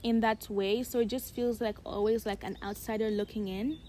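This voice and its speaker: A woman's voice: mid-range voice, steady tone, normal speed, warm tone, a little hiss or hum. A young woman, in her teens, with an American accent, reflective and casual, co-hosting a podcast chat with many filler likes. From Uganda. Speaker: podcast co-host.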